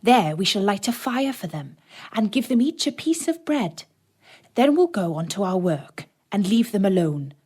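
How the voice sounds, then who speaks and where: Woman playing storybook stepmother, harsh, scornful, bossy, emphatic, big pitch swings. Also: big pitch swings, moderate pace, a little mellow, husky. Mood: coolly scheming.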